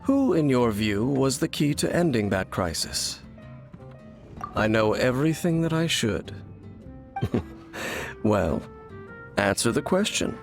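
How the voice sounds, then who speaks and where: An actor playing a mysterious, guarded wandering swordsman who speaks in a measured, solemn and formal way. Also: medium pitch, expressive, vocal fry. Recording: game audio with soft music.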